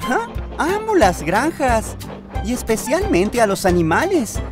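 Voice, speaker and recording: A woman voicing a cheerful, eager young boy train engine in a children's cartoon dub, with a Latin American accent and clear, expressive delivery. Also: fairly high pitch, measured pace, over music. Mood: excited, curious.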